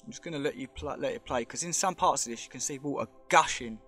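A man's voice: mid-range voice, quick pace, fairly bright tone, faint music behind. A man giving rambling, speculative commentary while filming with a handheld camera in an underground cave. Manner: chatty, rambling, speculative, points things out. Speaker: vlogger exploring a show cave.

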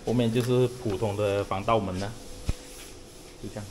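A man's voice: lowish voice, fairly even intonation, moderate pace, in a large hall, background noise. A man from Malaysia walking through his house under renovation, chatting casually as he points things out on a handheld camera. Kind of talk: casual walkthrough narration. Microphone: handheld action camera.

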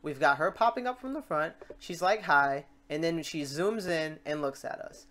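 A tutorial host with a mid-range voice walks through software steps in a casual way. The delivery is informal and unscripted, thinking aloud and self-correcting while working. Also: mid-range voice, expressive, normal speed. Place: voice-over on a screen recording.

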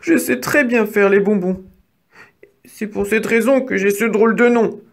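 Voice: medium pitch, unhurried, pitched above the performer's own voice. A storyteller voicing a timid young female mouse, polite and pleading, who stammers when frightened.

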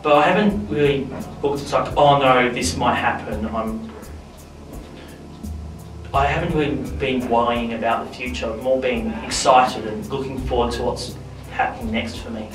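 A man's voice: mid-range voice, fairly even intonation, moderate pace, echoey room, recorded from a distance, over music, low-quality recording. A teenage boy in a casual interview, upbeat and rambling with lots of fillers. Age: teens.

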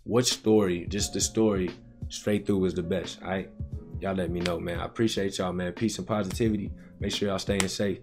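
Low-voiced young man, slangy streamer. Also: steady tone, moderate pace. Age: young adult. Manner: slangy, conversational, addressing chat. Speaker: gaming video host reacting to cutscenes. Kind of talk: casual reaction commentary to viewers. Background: music.

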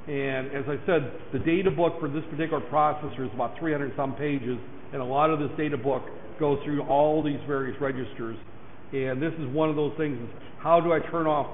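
A hoarse man lecturing a class, rambling and repeating "right there" and "that there". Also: on the high side. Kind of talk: recorded classroom lecture over slides. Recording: echoey lecture hall, tinny lo-fi mic with faint noise.

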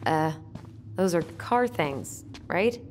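A woman's voice: lowish voice, expressive, slow pace, a little mellow, slight background noise. An actress playing a guarded, wry young American woman in a video game, talking in a subdued, dry way. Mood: gentle, teasing. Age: young adult. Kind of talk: naturalistic video game dialogue.

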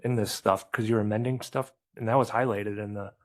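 A man asking blunt, halting questions as a board member in a meeting. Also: lowish voice, fairly even intonation.

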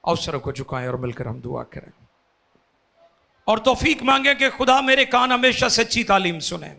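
Impassioned preacher man, gravelly. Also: high voice, big pitch swings, normal speed. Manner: impassioned preaching, rising to loud climaxes. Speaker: pastor. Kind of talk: sermon. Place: church stage with curtains. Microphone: handheld microphone.

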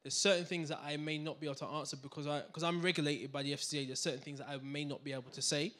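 A man gives a seminar talk on a handheld mic in a community hall. He speaks fast in a slightly high voice, animated and keen to draw in the crowd. He keeps checking in with "right? yeah?" as he goes. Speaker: finance speaker. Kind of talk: lively seminar talk to an audience. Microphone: handheld microphone.

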